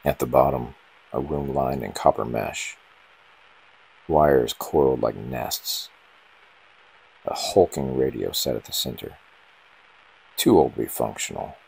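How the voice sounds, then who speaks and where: A man reading a scripted first-person horror story in short, ominous, dramatic phrases, with a gravelly, husky voice. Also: rumbling low, measured pace, long pauses, darkish tone, low-quality recording.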